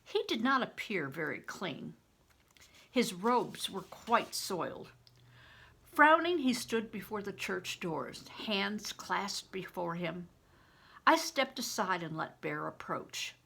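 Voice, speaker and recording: An older woman narrates a story as a grandmother would read it aloud. Her pace is slow and deliberate, and her tone is bright and clearly projected, with expressive phrasing. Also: medium pitch.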